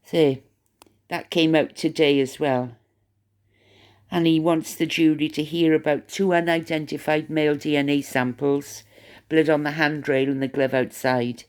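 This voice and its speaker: A Welsh-accented vlogger talks through the day's gossip in a loose, rambling way. The voice sits at an ordinary middle pitch, chatty and stop-start, often doubling back and repeating words while thinking out loud. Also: fairly even intonation, a little slow, clean tone. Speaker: channel host. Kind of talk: rambling gossip commentary to camera.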